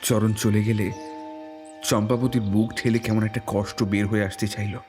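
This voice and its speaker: A man's voice: fairly low pitch, level tone, normal speed, darkish tone. A man narrating a scripted suspense story in a dramatic storytelling style. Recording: prominent background music.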